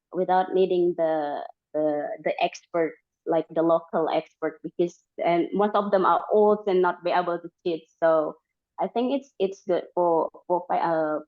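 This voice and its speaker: A woman from Borneo with an Indonesian accent, speaking English as a second language, talking in a halting way with many fillers and restarts as a community organizer. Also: fairly low pitch. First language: Dayak language. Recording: dull, lo-fi.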